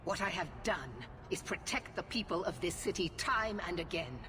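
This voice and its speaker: An actress playing a stern, zealous middle-aged knight commander in a fantasy game, speaking with formal, commanding severity. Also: female voice, on the low side, expressive, normal speed, noisy background, natural voice. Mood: fervent, resolute. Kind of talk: dramatic fantasy game acting.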